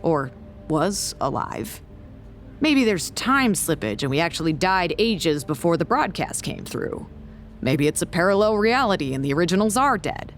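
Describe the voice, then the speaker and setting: A woman acting a guarded, suspicious researcher in an audio drama, measured, dry and skeptical. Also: fairly low pitch, sing-song, soft background music. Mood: grim, reasoning.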